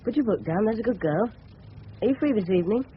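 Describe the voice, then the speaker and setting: A man's voice: high voice, expressive, fast talker. An actor playing an earnest, cocky English teenage boy in a radio drama, with a well-spoken southern English accent. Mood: buoyant, cocky. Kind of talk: 1970s radio drama acting. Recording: dull, noisy old radio broadcast.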